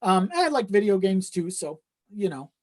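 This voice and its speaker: A man's voice: high voice, big pitch swings, moderate pace. A man chatting casually as a podcast guest, often checking in with the listener as he goes. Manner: conversational, frequent you-know check-ins.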